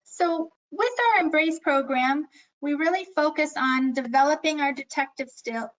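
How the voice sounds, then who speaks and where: A woman with a high-pitched voice presenting an earnest, instructive talk on memory care, with slightly dull audio. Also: steady tone, fairly bright tone. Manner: earnest, instructive, self-aware about speaking fast. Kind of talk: scripted educational presentation.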